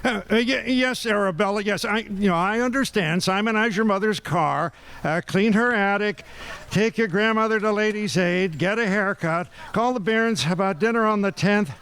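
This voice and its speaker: A man's voice: high-pitched, moderate pace, fairly bright tone, smooth. A man acting a hesitant, stammering, browbeaten fellow in an old-time radio comedy. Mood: flustered, placating. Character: browbeaten, henpecked, flustered big man in a comedy.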